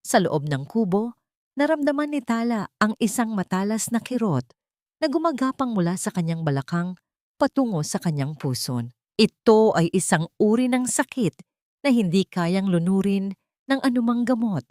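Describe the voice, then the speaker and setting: A woman's voice: mid-range voice, very animated, normal speed, darkish tone, no room sound, studio quality. A woman delivering dramatic scripted narration for an audio drama, in a solemn, emotive storytelling manner.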